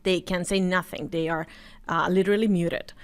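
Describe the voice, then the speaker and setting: A woman who teaches English, speaking as a panel guest and explaining her views in a measured way. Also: lowish voice, steady tone, smooth. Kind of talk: panel discussion.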